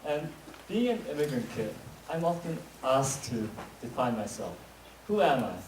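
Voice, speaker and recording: Young guy from new zealand, calm reflective stage talk, far mic, noisy echoey room. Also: medium pitch. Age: young adult. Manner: reflective, measured. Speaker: student speaker. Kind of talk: spoken-word monologue on stage. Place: school auditorium stage.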